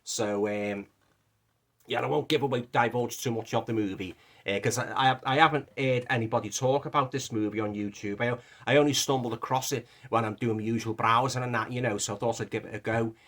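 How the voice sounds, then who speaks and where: A man's voice: medium pitch, steady tone, a little fast, a bit bright. A man with a Liverpool accent, throaty from a lingering cough, casually reviewing a movie with lots of fillers and restarts. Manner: rambling, many fillers and restarts. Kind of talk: casual film review vlog.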